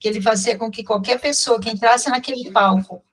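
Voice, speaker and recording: A woman's voice: on the high side, brisk, breathy edge. A woman with a Brazilian accent hosting a radio talk show, chatting casually with frequent hesitations and fillers. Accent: Brazilian Portuguese. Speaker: radio host.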